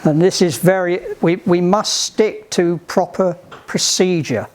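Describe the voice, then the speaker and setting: A man giving hands-on instruction to a group in a halting, deliberate, emphatic way, on an amateur recording. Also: high voice. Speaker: sailing club instructor giving radio training.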